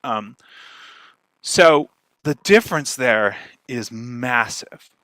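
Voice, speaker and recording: A man's voice: mid-range voice, animated, extremely slow, pushed and bright, dry recording. A man giving an informal instructional presentation as a speaker, explaining things step by step and checking in with listeners as he goes. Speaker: speaker, podcast marketer giving a talk.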